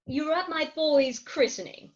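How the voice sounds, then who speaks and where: A woman's voice: high voice, normal speed, natural voice. A woman acting a proud, coaxing middle-aged wife in a comic Victorian farce, theatrical and emphatic, on slightly dull online call audio. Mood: indignant pride. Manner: theatrical, emphatic, grandly articulate. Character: imperious, coaxing Victorian English wife, thirty-six, proud. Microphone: remote home recording over video call.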